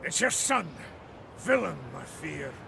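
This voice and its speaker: Fantasy game dwarf elder: gruff, blunt, Scottish, slow-spoken, thick gravel in the voice. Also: male voice, high-pitched, very animated, bright, forceful tone, slight background noise, full character voice. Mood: stern, uneasy. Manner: blunt, folksy, bossy. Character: gruff, stubborn old dwarf elder of a mountain clan. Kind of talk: dramatic video game voice acting.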